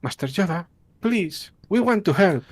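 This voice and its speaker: A man's voice: sits high, lively intonation, moderate pace, voice pushed up. A man voicing an earnest, somewhat reluctant young student in a dramatic comic read-aloud. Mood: pleading.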